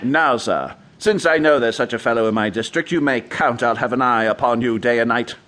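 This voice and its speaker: An actor playing an authoritative gentleman doctor and magistrate, speaking firmly and formally in a radio drama. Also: mid-range voice, fairly quick, natural voice. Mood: stern, threatening. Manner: firm, formal, crisply commanding.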